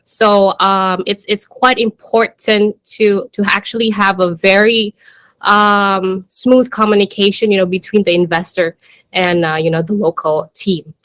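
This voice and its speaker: A woman speaks as a startup manager presenting to a business audience. Her delivery is informal and conversational, with a hesitant flow full of small filler phrases. Her pitch sits in a middle range for a woman, and the sound is dry with little room echo. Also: steady tone, a little slow, a bit bright. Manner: hesitant, conversational delivery with frequent "you know" and "like".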